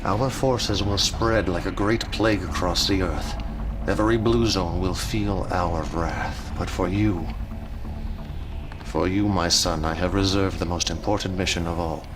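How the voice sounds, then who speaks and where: An actor playing a middle-aged cult leader and warlord, delivering fervent, grandiose and deliberate oratory in a game cutscene. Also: male voice, deep voice, noisy background. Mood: grim, menacing. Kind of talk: dramatic monologue in a game cutscene.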